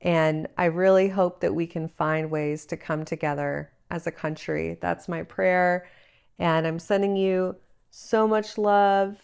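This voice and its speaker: A woman's voice: lowish voice, gravelly, a little mellow, clean tone. A woman in her thirties hosting a solo advice podcast, a little congested from a cold, talking in an earnest, encouraging way on a travel mic. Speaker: podcast host. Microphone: travel microphone, not her usual one.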